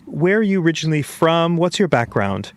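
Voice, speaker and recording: A man hosting a podcast, reading a measured scripted intro and then interviewing a guest. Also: a little high, sing-song, dry recording. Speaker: podcast host.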